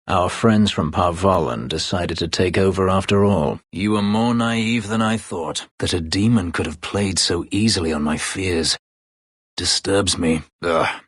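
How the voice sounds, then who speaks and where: An actor playing a brooding, bitter escaped-slave elf warrior in a fantasy video game, terse, guarded and drily sardonic, with a gravelly voice. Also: male voice, measured pace, no room sound, studio quality. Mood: dry, sardonic. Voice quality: gravelly, husky low voice. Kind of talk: dramatic fantasy video game character dialogue.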